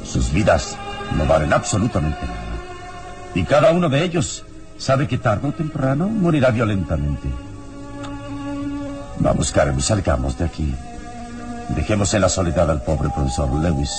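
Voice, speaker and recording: A righteous radio-drama hero with a deep voice. He is calm and solemn, speaking with measured authority while music plays clearly behind him. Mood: solemn, resolute. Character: calm, resolute male hero, a righteous defender of justice.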